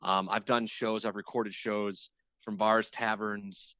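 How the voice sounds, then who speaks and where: A man's voice: lowish voice, flat delivery, slow pace, bright, forceful tone, clean tone, no room sound. A man who hosts radio, chatting casually as an interview guest and often rephrasing himself as he explains. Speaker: radio show host appearing as guest. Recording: dull, muffled lo-fi line.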